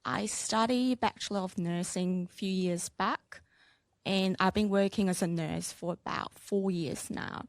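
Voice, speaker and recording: A woman's voice: medium pitch, steady tone, measured pace, dry recording. A young woman from Taiwan, a nurse, introducing herself to a class casually. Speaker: student, nurse.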